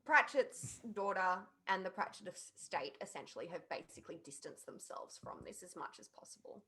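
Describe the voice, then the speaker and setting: A woman in a casual podcast discussion, picking her phrases deliberately with pauses. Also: fairly low pitch, expressive, sharp tone, breathy edge. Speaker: podcast panelist.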